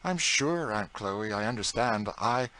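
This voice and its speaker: A man's voice: fairly low pitch, lively intonation, unhurried, bright, forceful tone, pitched above the performer's own voice. A man voicing a cheerful, teasing young boy who talks eagerly and playfully.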